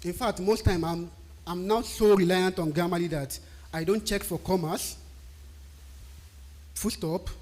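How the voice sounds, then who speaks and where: A man's voice: sits high, steady tone, a little slow, pauses often, darkish tone. A man from Nigeria with a Nigerian accent, not a native English speaker, giving a live-stream talk that explains technical writing and often asks and answers his own questions. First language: a Nigerian language.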